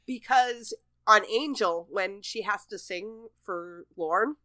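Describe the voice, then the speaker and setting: A woman's voice: mid-range voice, takes it slowly, sharp tone, dry recording. A woman co-hosting a podcast, talking casually about a television show. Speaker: podcast co-host. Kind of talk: casual TV episode discussion chat.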